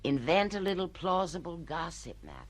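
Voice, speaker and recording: Radio drama: a pushy, domineering female editor, brisk and emphatic, with very animated intonation. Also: on the low side. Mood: bossy, instructing.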